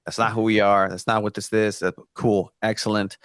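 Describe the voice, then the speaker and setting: A man's voice: lowish voice, little pitch movement, moderate pace, fairly bright tone, dry recording, studio quality. A man from Mexico, Spanish his first language, speaking earnestly as a podcast guest, with many restarts. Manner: earnest, frequent restarts and fillers. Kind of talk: casual podcast discussion.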